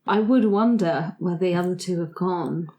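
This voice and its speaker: A woman's voice: fairly low pitch, normal speed, mellow. A woman chatting casually as a player in a tabletop game.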